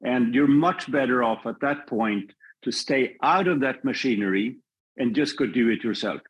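A male filmmaker being interviewed on a podcast, talking in a measured, reflective way and often restarting his sentences. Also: mid-range voice, husky, muffled recording. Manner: thoughtful, measured, with frequent restarts. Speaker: filmmaker guest.

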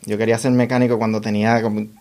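Halting young man, many restarts. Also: fairly low pitch, little pitch movement, a little mellow. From Puerto Rico. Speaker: guest, technology consultant. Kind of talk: casual interview chat.

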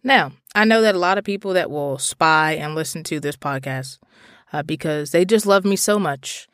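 Animated young woman podcaster, dry close sound. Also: low-pitched, moderate pace, no echo. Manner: animated, exasperated, swears freely, lots of "like" fillers. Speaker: podcast host. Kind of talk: casual podcast monologue.

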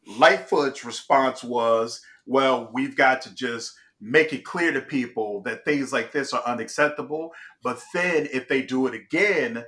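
Bright-voiced man giving emphatic commentary. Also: mid-range voice, unhurried, few pauses. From Chicago. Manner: emphatic, restarts and checks in with "all right?". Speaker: political commentary host. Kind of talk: casual political commentary.

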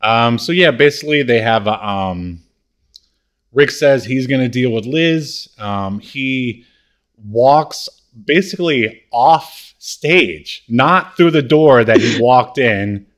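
Male podcast co-host, average pitch, halting casual delivery while retelling scenes from a comedy show. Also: animated. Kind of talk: casual recap chat about a TV comedy.

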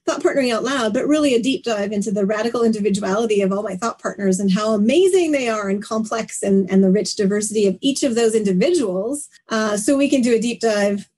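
A woman's voice: mid-range voice, fast talker, hardly any pauses, darkish tone, husky. A woman hosting a conversational interview, speaking in reflective, wordy phrases that often halt and repeat. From Canada. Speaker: live stream host, interviewer.

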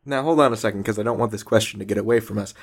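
Gravelly man interviewing. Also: on the low side, very fast. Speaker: podcast host, interviewer. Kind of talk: conversational interview.

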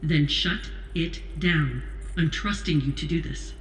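A woman playing a stern, commanding president in an audio drama, speaking in a measured, authoritative way. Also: deep voice. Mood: firm, regretful. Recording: roomy echo, faint noise.

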